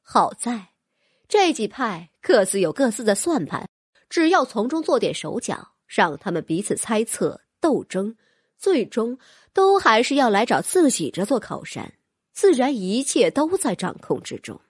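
Female audiobook narrator for fantasy fiction: highish voice, animated delivery. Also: measured pace, slightly rough, no echo, clean studio sound. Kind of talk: scripted fantasy novel narration.

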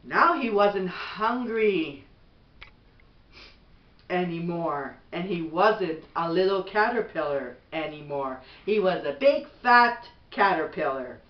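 A middle-aged woman reads a bedtime storybook at an unhurried pace. She is some distance from the camera mic in an echoey room. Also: fairly low pitch, lively intonation, highs cut off. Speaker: mother reading a bedtime story. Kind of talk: slow storybook read-aloud. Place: small bedroom at home, indoors. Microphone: camera a few feet away.

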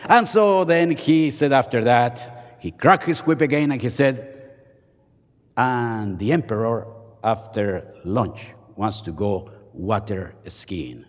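A man's voice: medium pitch, takes it slowly. An older man giving a playful, self-mocking chapel sermon from a podium. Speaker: seminary professor and chapel speaker. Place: large seminary chapel auditorium. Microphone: podium microphone. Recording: long hall reverb.

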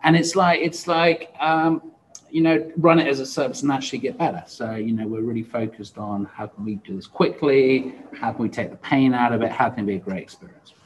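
A man's voice: medium pitch, steady tone, brisk, rough recording. A man in his forties speaking casually as a podcast host in conversation. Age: 40s. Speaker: podcast host and law firm founder. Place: indoor room, seated.

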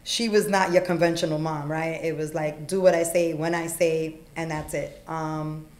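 A middle-aged woman born in Puerto Rico, a podcast guest telling her life story frankly, with plenty of ums and you-knows, on a desk boom mic in a small studio. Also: fairly even intonation, normal speed, darkish tone, echoey room. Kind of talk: candid life story in conversation. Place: small studio room with acoustic panels.

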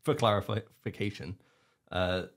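A man's voice: lowish voice, fairly even intonation, a little slow. A man who works behind the scenes of a podcast, chipping in with a question and his own story. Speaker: podcast producer and photographer. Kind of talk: casual chat.

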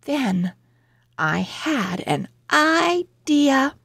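A woman reading a children's storybook aloud in a deliberate, expressive way meant for young listeners. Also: sits high, vocal fry, no echo, clean studio sound. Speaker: children's book reader. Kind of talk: read-aloud storybook narration.

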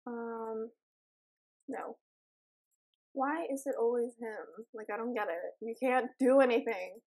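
A young woman with a slightly high, raspy voice gives relaxed let's-play commentary into a desk mic. She rambles, giggles and fills her pauses with ums. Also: rough recording. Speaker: gaming channel host.

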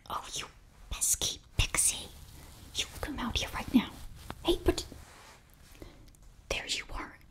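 Young woman, close-miked whisper for fantasy ASMR roleplay; flustered, halting, apologetic student sorceress. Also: a bit bright. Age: young adult. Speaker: ASMR performer. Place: small indoor bookshelf set. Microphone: very close ear-to-ear microphone.

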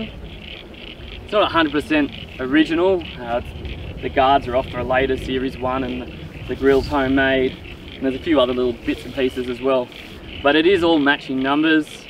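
Middle-aged male vlogger, plain-spoken and casual, mid-pitched voice, outdoor recording with some wind noise. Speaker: vlogger, owner of a vintage off-road vehicle. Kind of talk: casual walkaround vlog talk. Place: outdoors in open grassy bushland.

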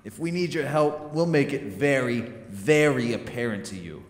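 A commanding, short-tempered crook played by a man in an audio drama. He talks gruffly and impatiently at a middle pitch, and his voice rings in an echoey, reverberant space. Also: expressive, normal speed, natural voice. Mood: angry, bossy. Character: bossy, short-tempered New York crook and heist schemer. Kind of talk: audio theater acting.

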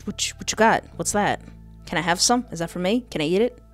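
A young woman hosting a game playthrough on a close microphone, reading text aloud and adding animated, jokey asides. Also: lowish voice, fast talker. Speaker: gaming video host. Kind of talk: playful let's play commentary mixed with reading game text aloud. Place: indoor home recording setup. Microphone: close desktop microphone with headset. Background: game music.

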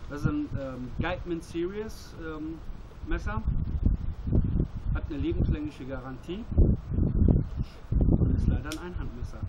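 A man's voice: a little high, lively intonation, a little slow, long pauses. A middle-aged man chats casually and bluntly, giving a hands-on gear review in colloquial, mildly profane words. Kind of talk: casual hands-on product talk. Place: outdoors at a table by a metal-walled building. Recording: muffled outdoor recording, loud traffic noise, clicks. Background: loud passing vehicle noise, clicking of handled items.

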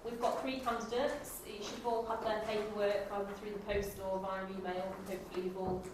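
A woman's voice: medium pitch, flat delivery, brisk. A woman explaining procedure at a formal meeting. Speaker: council member. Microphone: distant camera microphone. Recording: echoey room, far off, noisy.

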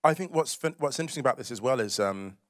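Young man from the UK, mid pitch, dry close handheld mic, probing festival interviewer outdoors. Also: animated, fast, dry recording. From United Kingdom. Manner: curious, probing questions. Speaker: writer and moderator. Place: outdoor festival stage among trees. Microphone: handheld microphone.

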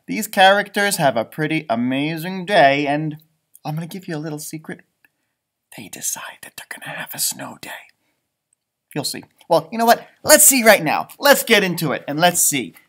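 An American man in his thirties with a playful, expressive, theatrical manner, reading and chatting for young children. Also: a little high. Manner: playful, expressive, theatrical, with sudden loud and hushed turns. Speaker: elementary school storybook reader, teacher. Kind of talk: animated children's read-aloud with chatty asides. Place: room with bookshelves, indoors.

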